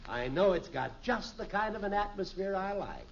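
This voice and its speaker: Jovial, flattering middle-aged US businessman, animated, highish voice, old radio sitcom. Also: normal speed, vocal fry, heavily acted. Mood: jovial admiring. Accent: American. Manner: effusive, jovial. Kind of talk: 1950s radio sitcom acting. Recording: muffled, hissy old radio recording.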